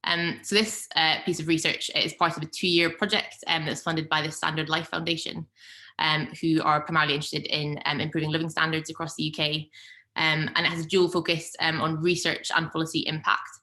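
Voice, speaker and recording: A woman's voice: deep voice, level tone, fairly quick, pushed and bright. A young woman giving an online seminar talk over a video call, hesitant with frequent ums and uhs. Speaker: invited seminar speaker, policy researcher. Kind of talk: presenting slides in an online seminar.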